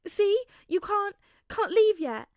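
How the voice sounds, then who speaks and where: A woman's voice: extremely high pitch, big pitch swings, slow pace, a little mellow, no room sound, clean studio sound, voice pushed up, exaggerated voice. A woman voicing a distraught teenage boy who begs and stammers, devoted to his father, in a story reading. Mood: tearful, coaxing. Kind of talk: audiobook character acting.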